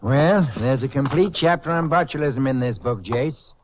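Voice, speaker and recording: A man playing an obliging small-town doctor in a 1950s radio drama, explaining things deliberately. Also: mid-range voice, natural voice. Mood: obliging, thoughtful. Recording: muffled, hissy 1950s radio recording.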